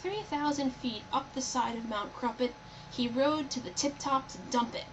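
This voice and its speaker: A woman narrates a rhyming children's story expressively in a fairly high voice. The recording is somewhat muffled, with clear noise behind her. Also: normal speed. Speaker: storybook reader. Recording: dull, lo-fi, loud background noise.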